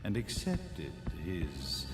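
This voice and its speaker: A grandiose video game villain, a calm megalomaniac sage. He speaks slowly and pompously, with dramatic pauses and wide swings of pitch. Loud music plays behind the voice. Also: heavily acted. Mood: coolly menacing. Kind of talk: theatrical video game cutscene monologue. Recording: echoey hall reverb under loud music.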